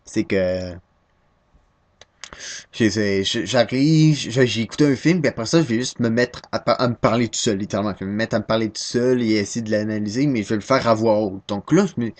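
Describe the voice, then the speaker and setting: Casual young Québécois guy on a webcam, slightly low voice, hesitant, mocks himself. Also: a little fast. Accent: Québécois French. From Quebec, Canada. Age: 19, young adult. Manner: self-deprecating, hesitant, many restarts. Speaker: first-time vlogger. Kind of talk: casual rambling webcam talk.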